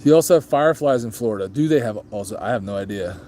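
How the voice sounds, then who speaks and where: A man with a low, easygoing voice chats with viewers in a casual vlog. He rambles, trails off and restarts, throwing in ums as he answers questions. A faint hiss of background noise runs under him. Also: animated, fast talker, dark tone. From grew up moving around as an Army child. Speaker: motorcycle vlog host reading live chat.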